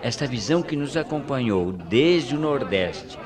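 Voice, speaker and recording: A man's voice: medium pitch, sing-song, a little slow, crowd noise. A Brazilian man playing a respectful, curious airline crew member in a radio drama, articulate and deferential in his speech. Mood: respectful curiosity. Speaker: radio actor. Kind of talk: 1990s radio drama acting.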